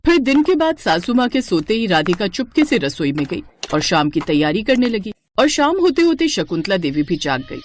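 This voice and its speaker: A woman's voice: medium pitch, big pitch swings, moderate pace, hardly any pauses, slight background noise. A woman narrating a scripted cartoon moral story as its storyteller.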